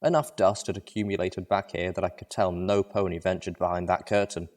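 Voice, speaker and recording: A man's voice: deep voice, little pitch movement, brisk, a little mellow. A man reading a story aloud as narrator, in a dramatic first-person style.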